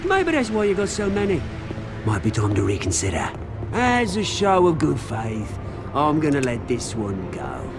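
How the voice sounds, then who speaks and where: An actor playing a mocking, swaggering crime boss with a British accent in a video game. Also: male voice, fairly high pitch, wildly swooping pitch, normal speed, vocal fry, over music, heavily acted. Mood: smug, persuasive. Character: mocking, theatrical male crime boss taunting a vigilante. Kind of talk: video game character acting.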